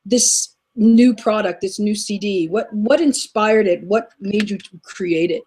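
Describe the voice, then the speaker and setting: A woman's voice: medium pitch, measured pace, darkish tone, slightly rough. A woman hosting a casual online talk show, effusive and full of compliments, stumbling over repeated words. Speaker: host. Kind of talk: casual chat.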